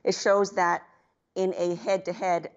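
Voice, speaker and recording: A middle-aged woman giving measured, explanatory news commentary on a radio show over a muffled, noisy link. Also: fairly low pitch, level tone. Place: TV news studio, on video link. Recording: muffled, clearly noisy feed.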